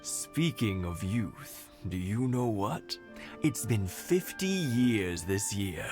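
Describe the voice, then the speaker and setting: A gentle old village husband with a low, unhurried voice who fondly retells how he proposed long ago. Game dialogue over loud background music. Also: big pitch swings. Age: older. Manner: warm reminiscing storyteller, soft chuckles. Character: kindly elderly village husband, gentle and nostalgic. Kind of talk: game voice acting.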